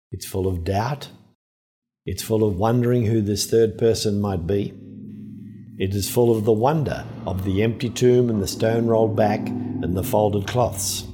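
A man giving a measured, earnest Easter homily on a gospel passage. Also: lowish voice, mellow. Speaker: preacher.

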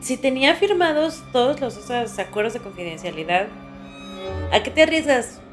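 A woman's voice: fairly high pitch, expressive, moderate pace, over music. A woman with a Mexican accent chatting casually as a podcast co-host, reacting in colloquial phrases and asking questions. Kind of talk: casual chat.

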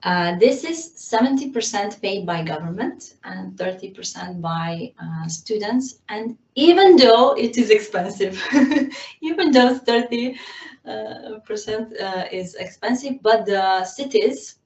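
A woman's voice: mid-range voice, lively intonation, takes it slowly, darkish tone, reverberant, far from the mic. A woman from Serbia who teaches violin, answering interview questions in a hesitant way, with many fillers and frequent small laughs.

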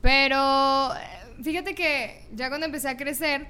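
A woman's voice: high voice, unhurried, sharp tone. A woman in her twenties with a Mexican accent, chatting informally as a guest in a casual interview. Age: 20s. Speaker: podcast guest, singer and TV presenter. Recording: clear background noise and room ambience.

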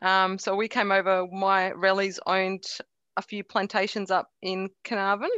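A woman's voice: mid-range voice, level tone, moderate pace, fairly bright tone, smooth, no echo, dull audio. A middle-aged woman from Croatia, a Croatian speaker with a faint Croatian accent, chatting easily in an interview, laughing often and restarting her sentences. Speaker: interview guest. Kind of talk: relaxed conversational interview.

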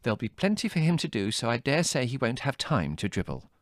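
A man voicing a polite, worried middle-aged mother in a storybook reading, gentle and placating. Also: medium pitch, big pitch swings, fairly quick, no echo, studio quality, voice pushed up, lightly acted. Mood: reasonable.

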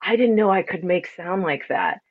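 A woman speaks in a deep, resonant voice with plenty of body. She is reflective and emphatic, explaining things earnestly as in a conversational interview. The audio is somewhat dull and lo-fi. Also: moderate pace. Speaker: podcast guest, spiritual sound and ceremony practitioner.

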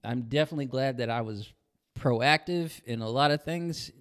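A man's voice: mid-range voice, moderate pace. A young American man co-hosting a podcast, talking casually with a friend, with slang and some swearing. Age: young adult. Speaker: podcast co-host.

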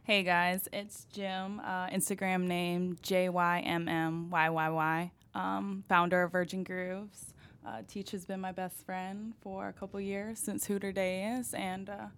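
A woman's voice: on the low side, little pitch movement, unhurried, a bit bright, clean tone. A woman guest chatting casually on a podcast, with a blunt, joking manner. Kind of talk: casual chat.

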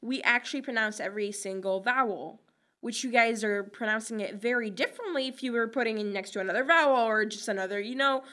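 A woman's voice: on the high side, quick pace, pushed and bright. Woman, young adult, from Egypt, Arabic native speaker, interview guest, talkative. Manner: chatty, many fillers, laughs often. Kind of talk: casual interview answers.

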